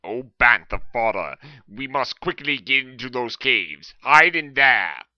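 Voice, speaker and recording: A young man performing comic amateur voice lines in a goofy, deliberate way. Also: mid-range voice, animated, dry recording. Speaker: amateur voice actor. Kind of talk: hammy comic performance of script lines and ad-libs. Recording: dull, lo-fi close mic.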